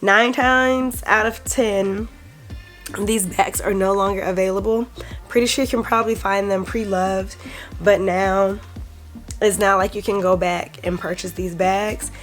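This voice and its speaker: A woman's voice: mid-range voice, fairly even intonation, measured pace, soft background music. A woman in her twenties hosting a casual vlog, sounding congested from a cold and chatting in a rambling way with frequent ums. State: congested, recovering from illness. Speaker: vlog host.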